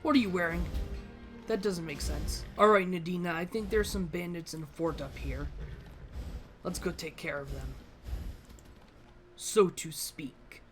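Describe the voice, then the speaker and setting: A woman giving casual let's play commentary on a game, low-key and musing aloud. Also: unhurried, long pauses. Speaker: gamer narrating a playthrough. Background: faint game music.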